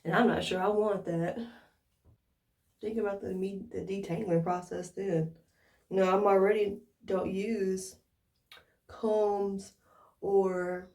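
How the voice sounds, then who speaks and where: A woman's voice: mid-range voice, warm tone. An American woman chatting casually to viewers in an informal tutorial, thinking aloud as she demonstrates. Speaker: hair care vlogger. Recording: roomy echo, distant from mic.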